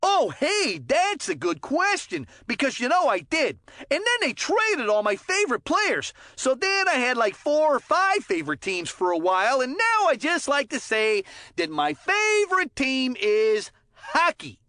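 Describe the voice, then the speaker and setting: High-pitched, excitable kid hockey commentator. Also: female voice, unhurried, no echo, studio quality, full character voice. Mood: cheerful, joking. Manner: chirpy, folksy, giggly. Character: excitable, clumsy, good-natured child hockey commentator. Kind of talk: cartoon video game sports commentary.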